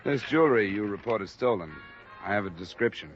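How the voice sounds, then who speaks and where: A hard-boiled American insurance investigator from a golden-age radio drama. His voice is low and his delivery clipped and businesslike. The dull vintage recording has music clearly underneath. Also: lively intonation, a little fast. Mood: matter-of-fact. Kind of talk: 1940s-50s American radio detective drama acting and narration.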